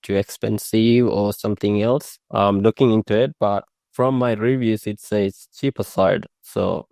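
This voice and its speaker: A young man with a fairly low voice, speaking English as a second language. His sentences come out halting and unpolished, with restarts along the way. The recording is close and dry, with no room sound. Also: fairly even intonation, gravelly, a little mellow, no room sound. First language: not English. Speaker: new mowing franchisee. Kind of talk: casual interview.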